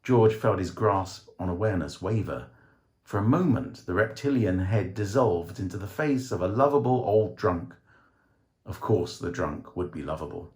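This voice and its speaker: A man reading a short story as dramatic scripted narration, with theatrical, measured phrasing. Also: lowish voice, a little mellow. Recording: roomy, echoing voice recording.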